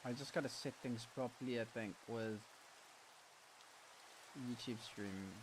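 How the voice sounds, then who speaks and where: A man's voice: medium pitch, a little slow, background noise. A man live-streaming from his room, sniffly, muttering casually to himself as he sets things up, with slangy, offhand talk. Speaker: livestreamer. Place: home room.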